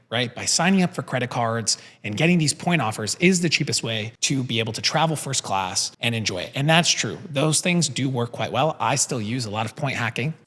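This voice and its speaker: A man's voice: medium pitch, fairly bright tone, big-room sound. A young man from Brazil raised in the Midwest, hosting a show and talking upbeat and conversational, tossing in "right?" and "you know". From born in Brazil, raised in the American Midwest. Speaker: podcast host. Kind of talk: casual talk.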